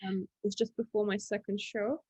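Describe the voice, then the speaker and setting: A young woman who grew up in England after early childhood in Latvia, a Russian speaker, chatting casually as a podcast guest and pausing often to find her words. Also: mid-range voice, breathy edge, no room sound, low-quality recording. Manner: relaxed, thinks aloud with many fillers. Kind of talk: casual interview chat.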